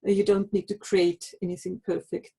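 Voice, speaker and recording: A woman's voice: mid-range voice, little pitch movement, moderate pace, gravelly, mellow, slightly rough. A woman presenting a live online lesson for language teachers, explaining tools and giving practical instructions.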